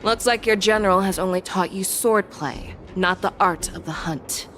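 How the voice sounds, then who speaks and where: A woman voicing a composed, shrewd general in a video game, speaking in a commanding, measured, instructive way. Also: medium pitch, a bit bright, soft background music, lightly acted. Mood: grave, cautioning. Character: composed, shrewd female general, a seasoned hunter. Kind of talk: dramatic video game voice acting.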